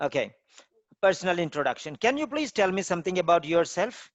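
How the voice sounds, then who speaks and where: A man's voice: sits high, big pitch swings, no echo. Man as formal mock examiner. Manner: formal, measured questioning. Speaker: IELTS trainer playing examiner. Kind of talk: mock exam interview.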